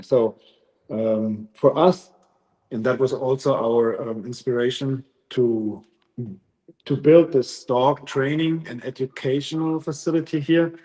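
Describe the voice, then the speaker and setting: A man from Germany with a German accent, who runs a dog training facility, talking thoughtfully with many pauses in a casual interview. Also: mid-range voice, warm tone, poor audio.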